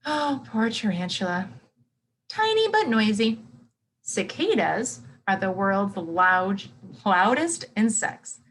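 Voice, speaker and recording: A woman's voice: mid-range voice, a bit bright, slight background noise. A woman reading a nonfiction book aloud to children, expressively, with simple explanations and reactions as a teacher would.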